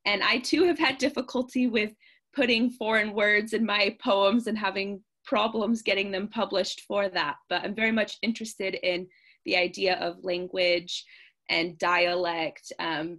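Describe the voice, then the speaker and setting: A woman's voice: medium pitch, steady tone, measured pace, fairly bright tone. A woman in her thirties from California with an American accent, introducing herself chattily as a guest poet over a video call, with hesitant fillers.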